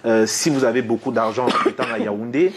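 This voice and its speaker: Measured man on morning radio. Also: medium pitch, heavy background noise. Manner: measured, with frequent tag questions. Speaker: radio show co-host. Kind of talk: radio talk debate.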